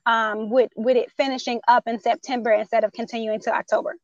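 A woman's voice: fairly high pitch, flat delivery, a little fast, no room sound. Earnest thirty-year-old woman, lo-fi. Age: 30s. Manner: earnest, reflective, with many um fillers. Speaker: guest, nonprofit founder. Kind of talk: interview answers. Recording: dull, dry lo-fi audio.

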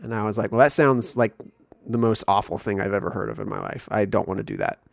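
A man's voice: lowish voice, fairly even intonation, fast, vocal fry, no echo, studio quality. Laid-back young man from Missouri. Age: 20s. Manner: laid-back storytelling with frequent chuckles. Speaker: podcast co-host. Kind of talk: casual chat.

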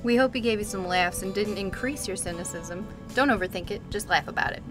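A woman's voice: mid-range voice, brisk, close to an everyday voice. A woman playing a chirpy radio announcer in a parody sign-off and sponsor spot. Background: music.

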